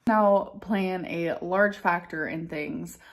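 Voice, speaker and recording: A woman's voice: on the low side, slow, vocal fry. Woman in her thirties, casual vlog talk, rambling, filler-heavy. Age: 30s. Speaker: vlogger.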